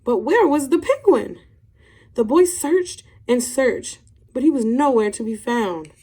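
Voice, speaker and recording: A woman with a slightly high voice speaks as a teacher to young pupils. Her intonation is very lively and expressive. The delivery is that of a picture-book read-aloud, clearly aimed at children. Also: measured pace.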